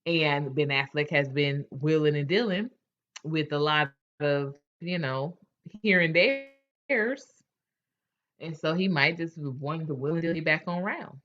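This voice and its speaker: A woman's voice: low-pitched, measured pace, fairly bright tone, dull audio. A woman hosting a casual chat, candid and chatty, often restarting her sentences. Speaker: host.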